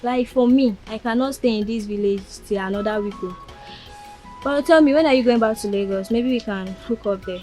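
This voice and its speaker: An actress with a Nigerian accent playing a young city friend who teases playfully in a drama skit. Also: female voice, a little high, brisk, darkish tone, soft background music, natural voice. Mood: teasing. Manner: playful teasing. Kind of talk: Nollywood-style drama skit.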